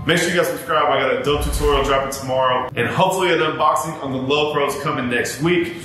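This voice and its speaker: A man's voice: quick pace, music in the background. A man in his thirties, a barber giving earnest advice straight to camera in a casual, repetitive way, addressing viewers as guys. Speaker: barber and YouTuber. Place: home barber studio, indoors. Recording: very echoey room with faint rumble.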